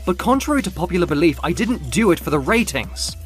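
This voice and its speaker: A man performs a proud, petulant young man in an audio drama. The voice is raised and lively, indignant and defensive, over music. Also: sits high, quick pace, voice pushed up. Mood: put out. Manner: indignant, self-justifying.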